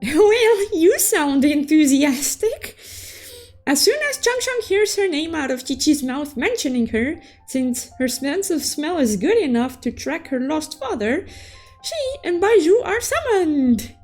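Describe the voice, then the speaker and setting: A woman voicing a cute, excitable young unicorn who recaps a story with playful, theatrical exclamations. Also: sits high, a little slow, faint music behind. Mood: playful storytelling. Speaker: storytelling host. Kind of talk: animated story recap narration.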